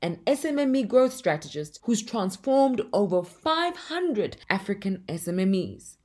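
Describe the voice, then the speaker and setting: A woman hosting a podcast, reading a measured, upbeat scripted introduction and then asking her guest questions. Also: mid-range voice. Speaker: podcast host.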